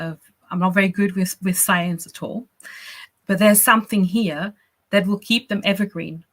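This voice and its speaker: A woman from Austria, a business coach teaching a live workshop in a chatty, encouraging way, often checking in with "right?" and "you know". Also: on the low side.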